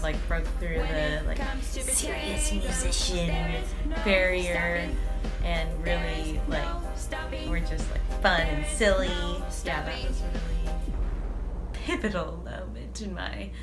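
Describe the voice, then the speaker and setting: A young woman reminiscing about friends in a hesitant, filler-heavy way. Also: fairly low pitch. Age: young adult. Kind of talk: casual chat.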